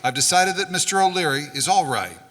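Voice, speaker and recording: A man's voice: high voice, lively intonation, brisk, fairly bright tone. A man playing a formal, hesitant older banker in a radio drama on stage. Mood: stiff, guarded. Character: stiff, uneasy older wealthy banker father. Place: stage in a hall. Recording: echoey hall, clear music behind.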